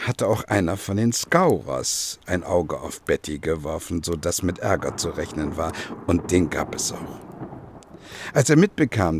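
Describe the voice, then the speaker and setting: An actor playing a grave former detective who recounts his past in a measured, dramatic way in a German radio play. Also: sits low, darkish tone, slightly rough, exaggerated voice. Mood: reflective.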